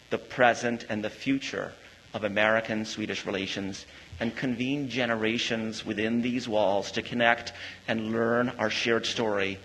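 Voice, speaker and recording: A man serving as ambassador, delivering a formal prepared speech in a measured, diplomatic way. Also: fairly low pitch, fairly bright tone, a little hiss or hum, low-quality recording.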